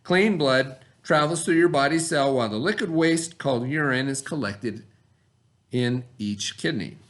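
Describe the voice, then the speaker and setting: A man's voice: mid-range voice, lively intonation, a little slow. A middle-aged man reading a lesson aloud to children as their teacher, with simple, instructive explanations.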